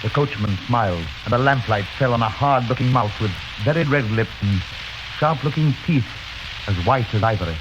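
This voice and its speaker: A man's voice: medium pitch, normal speed, natural voice. An actor playing an earnest, anxious young English lawyer, narrating his journal with dramatic intensity in old radio drama style. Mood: tense dread. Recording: noisy, muffled old radio recording.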